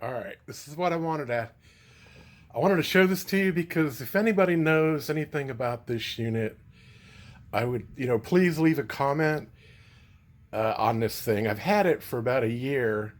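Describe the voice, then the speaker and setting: A man talking casually and unscripted as a vlogger showing gear, rambling and halting as he thinks aloud. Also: mid-range voice. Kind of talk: casual unscripted show-and-tell.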